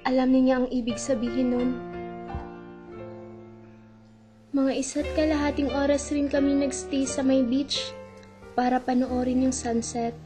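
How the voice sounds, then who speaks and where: A woman's voice: high voice, flat delivery, normal speed, music in the background, natural voice. A woman with a Filipino accent narrating as a young woman, in a reflective and wistful way. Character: young woman recalling her love, wistful. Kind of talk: scripted narration in audio drama.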